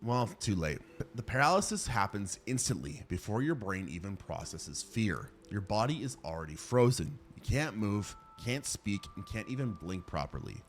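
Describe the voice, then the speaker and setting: Animated male narrator with dramatic delivery. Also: fairly low pitch, moderate pace, slight background noise. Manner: dramatic, emphatic, with sardonic asides. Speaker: video host, narrator. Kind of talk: scripted horror countdown commentary.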